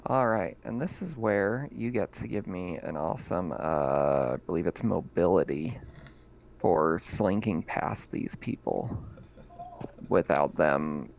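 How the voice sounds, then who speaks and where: A man's voice: deep voice, animated, slow, vocal fry, darkish tone, a little hiss or hum. A man acting as game master, casually narrating a tabletop roleplaying game.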